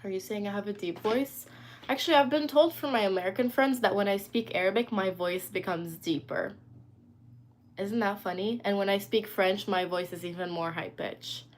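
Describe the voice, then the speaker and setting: A young woman talks loosely and chattily into her phone on a home live stream, answering viewers as their comments come in. Her pitch sits in a middling range. A faint hiss of ambient noise stays under her voice. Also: normal speed. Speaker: livestreamer. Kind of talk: casual live stream chat. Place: indoors at home.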